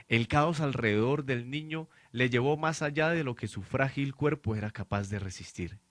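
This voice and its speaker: A man's voice: medium pitch, fairly bright tone. A man reading dramatic scripted narration for a radio novel. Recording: clear background noise, amateur student production.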